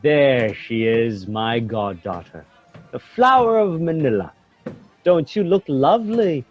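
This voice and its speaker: An actor playing a pompous, domineering friar in a radio drama, with a commanding manner. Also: male voice, medium pitch, animated, slow, dull audio, soft background music. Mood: smooth, possessive.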